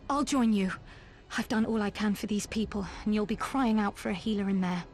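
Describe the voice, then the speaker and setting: An actress playing an earnest, devoted young healer in a video game, with an urgent manner. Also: female voice, mid-range voice, a little fast, darkish tone, close to an everyday voice. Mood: determined. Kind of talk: video game cutscene acting. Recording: music behind the voice.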